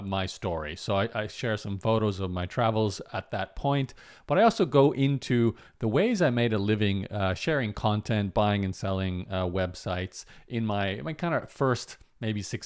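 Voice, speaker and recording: A man from Australia with a Canadian accent, talking casually to camera as host of his channel in a relaxed, rambling way with frequent fillers. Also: on the low side, moderate pace, clear, resonant tone. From Brisbane, Australia. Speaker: online entrepreneur and channel host.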